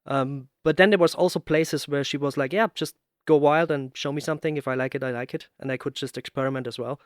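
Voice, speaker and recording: A man's voice: on the high side, steady tone, fast talker, clear, resonant tone, no room sound, clean studio sound. A man hosting a casual interview, chatting in an informal, agreeable and talkative way. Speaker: podcast host and sound professional.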